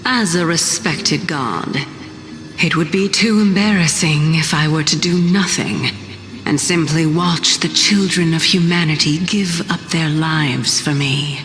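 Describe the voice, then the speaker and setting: Ancient goddess, low and unhurried, poised, teasing, game dialogue, long reverb over music. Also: female voice, a bit bright. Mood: composed, musing. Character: ancient playful goddess of reason, composed and teasing. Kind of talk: video game character acting.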